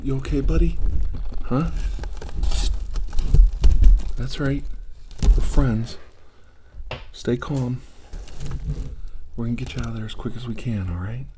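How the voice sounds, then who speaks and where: A man playing a calm 1940s army doctor in an improvised ASMR role-play, muttering and often whispering reassurance. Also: mid-range voice, takes it slowly, long pauses, close to an everyday voice. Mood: reassuring, concerned. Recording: noisy recording with clicks.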